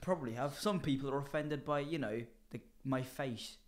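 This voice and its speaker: A man's voice: medium pitch, moderate pace. A young man from Italy with a mid-range voice. He chats as a comedian co-host, loose and sweary, often mocking himself. He talks close into a studio microphone. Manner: joking, self-mocking, sweary. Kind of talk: casual bantering podcast chat. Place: small indoor garage-style podcast studio. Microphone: close microphone on boom arm.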